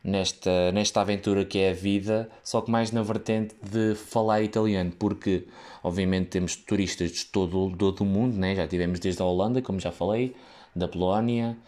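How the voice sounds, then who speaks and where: A young man speaks in a European Portuguese accent, his voice sitting fairly low. He tells a casual story from his job as a waiter, drifting into side tracks and correcting himself often. His delivery is loose and chatty, with plenty of fillers. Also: fairly even intonation, normal speed, darkish tone. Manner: rambling, digressive, many fillers and restarts. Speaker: waiter telling a work story.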